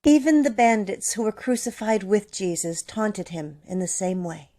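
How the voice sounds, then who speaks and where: Woman reading scripture, even and deliberate. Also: mid-range voice. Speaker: scripture reader. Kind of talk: dramatic Bible reading in church.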